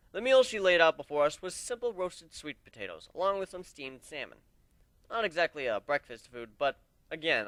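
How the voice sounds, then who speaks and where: A man narrates a first-person fantasy story in a dramatic reading. The voice is fairly high and bright, very lively in pitch, and recorded dry and close. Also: no echo.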